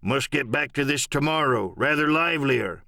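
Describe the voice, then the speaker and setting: A man performs a brisk English sea captain for an audiobook. The voice is stern and stoical, with clipped, commanding delivery. It is recorded very dry. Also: mid-range voice, animated, vocal fry, bright, forceful tone, no room sound. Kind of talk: audiobook character acting.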